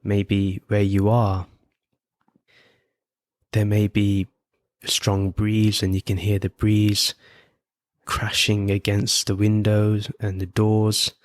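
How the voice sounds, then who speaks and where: A man leading a guided meditation as host, giving gentle, unhurried instructions with frequent pauses. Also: on the low side, completely flat delivery, no room sound, studio quality. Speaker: podcast host guiding meditation.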